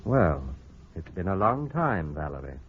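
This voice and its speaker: Very deep-voiced, controlled surgeon. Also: male voice, very animated, a little slow. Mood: pointed. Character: bitter, controlled eye surgeon, a spurned former suitor. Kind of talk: old-time radio drama acting. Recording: muffled old radio recording with hiss.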